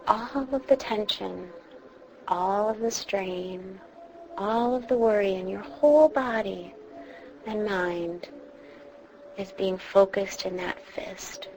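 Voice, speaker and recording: Female meditation guide, very unhurried and soothing, reassuring delivery with long pauses, noticeable ambient noise. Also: medium pitch, darkish tone. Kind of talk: guided meditation, instructing a listener. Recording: clearly noisy background ambience.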